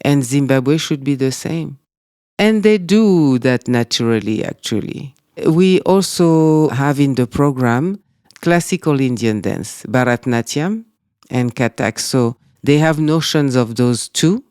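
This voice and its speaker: A man with a French accent speaking as a guest in an interview, talking in a measured, reflective way. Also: mid-range voice, expressive, darkish tone, clear, resonant tone, dry recording, clean studio sound. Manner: measured, reflective, with frequent "you know". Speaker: guest, dance school director. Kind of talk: conversational interview.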